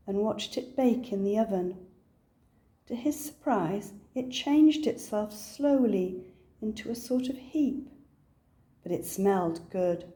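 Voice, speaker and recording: An older woman reading a children's picture book aloud as a storyteller, with a deliberate, expressive delivery. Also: medium pitch.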